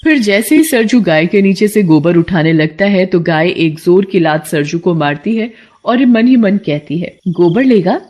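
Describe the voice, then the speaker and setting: A woman's voice: lowish voice, expressive, unhurried, few pauses, darkish tone. A woman narrator reading a scripted cartoon folktale in a storytelling style.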